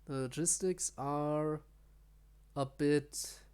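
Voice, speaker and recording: Slow-talking male streamer with a clear voice. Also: fairly high pitch, fairly even intonation, darkish tone. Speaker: game streamer. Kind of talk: casual live gaming commentary.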